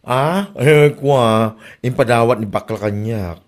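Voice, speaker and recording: An actor plays an eager, gossipy character with a mid-pitched male voice. He chats and exclaims in comedy drama style. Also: slow, natural voice. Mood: excited, gossipy. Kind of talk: Ilocano comedy drama acting.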